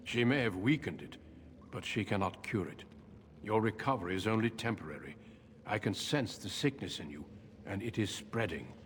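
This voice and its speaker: A man voicing a calm, grave veteran human warrior in a video game, speaking in a measured, formal, courteous way. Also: lowish voice, natural voice. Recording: echoey cave reverb, noisy ambience behind.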